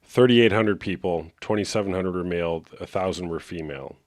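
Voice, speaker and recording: A man hosting a live call-in show, talking in a relaxed, steady way and asking callers short, direct questions. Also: sits low, brisk, no room sound, clean studio sound. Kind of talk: casual call-in talk.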